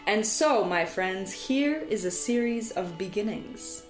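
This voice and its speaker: A woman storyteller delivering poetic scripted narration in a solemn, deliberate way, with dramatic phrasing and emphatic repetition. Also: mid-range voice, animated. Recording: echoey, reverberant sound with music behind. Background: music.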